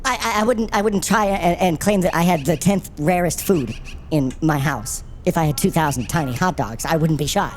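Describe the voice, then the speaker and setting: A woman playing an argumentative, skeptical museum visitor in naturalistic audio comedy, deadpan and rambling, repeating her words. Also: low-pitched, normal speed, faint music behind, lightly acted. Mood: pedantic, probing.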